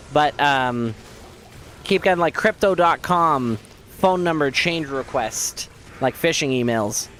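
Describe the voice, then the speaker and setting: A man streaming a game who chats casually and jokes at his own expense with viewers, hoarse from losing his voice. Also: a little high, slow pace, pauses often, a bit bright, a little hiss or hum. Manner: rambling, self-mocking, joking with viewers. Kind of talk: casual chat while playing.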